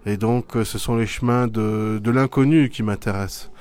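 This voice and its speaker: A man's voice: fairly low pitch, fairly even intonation, moderate pace, darkish tone, a little hiss or hum. A middle-aged man from Belgium, a theatre artist and teacher, answering interview questions thoughtfully with many hesitations. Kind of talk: school media interview, reflective answers.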